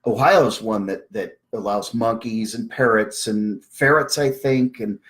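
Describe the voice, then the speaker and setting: A woman's voice: a bass voice, a little slow. Wry, emphatic woman explaining things casually. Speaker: speaker on a live Q&A about service dogs.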